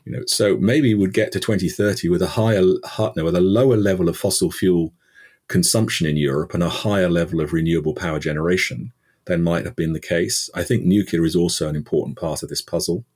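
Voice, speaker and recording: Very deep-voiced husky British man. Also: fairly quick, darkish tone. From United Kingdom. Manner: thoughtful, many "you know" fillers and restarts. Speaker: energy expert guest. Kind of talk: podcast interview answer.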